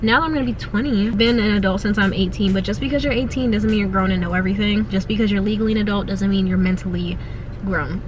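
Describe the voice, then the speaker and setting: A woman's voice: mid-range voice, quick pace, background noise. A young woman in her late teens, a college student and influencer, talking casually to her camera in a rambling, playful way from her car and home. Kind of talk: casual vlog talk to camera. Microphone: camera mounted in a car.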